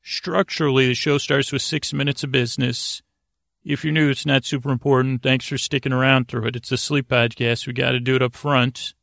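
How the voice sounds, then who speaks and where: A man with a creaky voice hosting a sleep podcast, rambling in a halting, meandering, self-deprecating way and drifting into tangents. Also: mid-range voice, level tone, a bit bright, no echo, studio quality. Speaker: podcast host.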